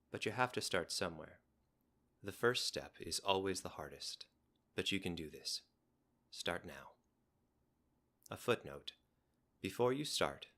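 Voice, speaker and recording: A man reading scripted fantasy narration in a measured, dramatic storytelling manner. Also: low voice, sharp tone. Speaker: guest narrator of a game's storyline.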